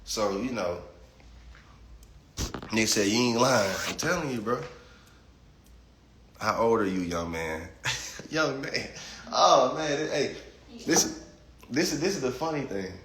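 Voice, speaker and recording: A man's voice: mid-range voice, expressive, takes it slowly, pauses often, a bit bright, echoey room, slight background noise. A man in his late twenties talking bluntly and profanely on a casual livestream. He reads chat comments and often repeats words as he starts a thought. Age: 20s. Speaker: livestream host reading chat.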